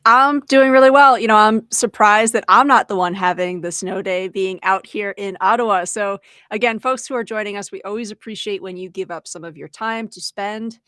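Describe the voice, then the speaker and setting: A woman's voice: mid-range voice, normal speed, few pauses, pushed and bright, no echo. A woman co-hosting an education webinar, explaining ideas in a conversational way. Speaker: podcast co-host and education trainer.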